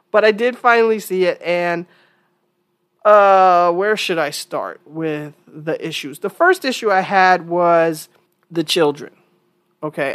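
A woman hosting her own opinion podcast in a casual, chatty monologue, sounding stuffy from a cold and repeating points for emphasis. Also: on the low side. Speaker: podcast host.

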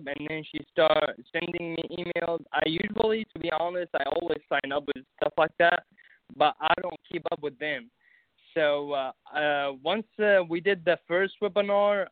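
A man's voice: a little high, no room sound. A young man with an Arabic accent, a guest in a casual interview, talking hesitantly with many fillers and restarts. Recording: phone-like narrow sound, dry.

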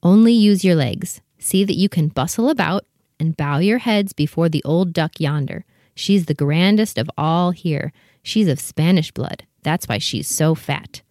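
A woman's voice: on the low side, measured pace, a little mellow, no echo, clean studio sound, natural voice. A woman playing a proud, fussy, protective mother duck in a storybook dramatization, bustling and motherly as she instructs. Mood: proud, instructive. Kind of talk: full cast storybook dramatization.

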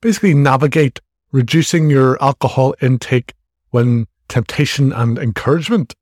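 A man in his forties with an Irish accent talks through his podcast in a frank, easygoing and self-deprecating way. His voice sits at a middling pitch, recorded close on the mic in a dead, dry room. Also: moderate pace, darkish tone, dry recording. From Ireland. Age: 40s. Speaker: podcast host. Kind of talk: casual solo podcast monologue.

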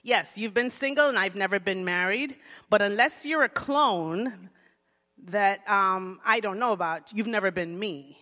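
Woman from jamaica preaching at church, bright voice, big hall echo, talks right to the crowd. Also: medium pitch, unhurried. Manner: lively, engages congregation, softens into prayer. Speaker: guest speaker. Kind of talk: church sermon talk. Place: church sanctuary. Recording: long reverb of a large hall.

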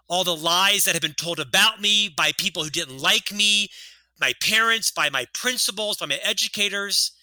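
A man's voice: sits high, pushed and bright, no room sound, poor audio. A man in his fifties who has a stutter, telling his story earnestly as a guest in an interview. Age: 50s. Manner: earnest storytelling, lectures with statistics. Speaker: author and podcast guest. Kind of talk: casual interview talk.

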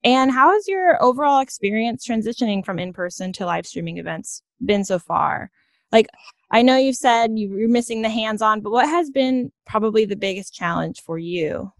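A woman's voice: fairly high pitch, animated, normal speed, no echo. Encouraging woman hosting a casual interview, dry sound. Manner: encouraging, affirming. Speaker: podcast host.

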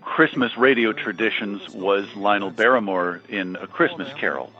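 A man's voice: fairly low pitch, moderate pace. A man, a radio host, giving conversational commentary as a guest. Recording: thin, phone-like line.

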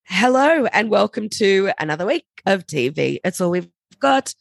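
Young woman, slightly raspy, chatty podcast co-host, dry close sound. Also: mid-range voice, very animated, dry recording. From Australia. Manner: chatty, quick to laugh. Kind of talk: casual chat.

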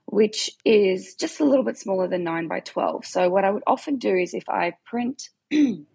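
A woman's voice: medium pitch, animated, moderate pace, creaky, dark tone, breathy edge. A woman, chatting as a podcast guest, talking freely with frequent fillers and easy laughter. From South Africa, raised in Australia. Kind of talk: casual interview chat.

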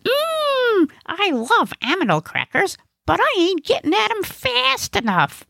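Give this the sound of a very high-pitched, wildly swooping furry male creature played by a woman. He is dim, food-obsessed and blissfully oblivious, mangling his words like a child. The recording is dry, for a comic storybook. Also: huge pitch swings, unhurried, gravelly, fairly bright tone, no room sound, exaggerated voice. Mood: hungry. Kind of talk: comic audiobook character acting.

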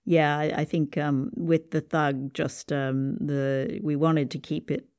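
A woman hosting a podcast interview as its writer and producer, talking in a measured way. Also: deep voice, flat delivery, a little mellow.

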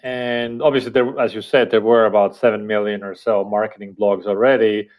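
A man's voice: fairly low pitch, fairly even intonation, fairly quick, dull audio. A man whose first language is Estonian, a podcast guest speaking thoughtfully with fillers and restarts. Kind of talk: casual interview talk.

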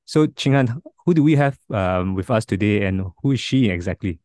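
A man's voice: fairly low pitch, lively intonation, darkish tone, no echo. A man in his forties hosting a podcast, talking casually with many restarts. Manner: halting, many restarts and fillers. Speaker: podcast host.

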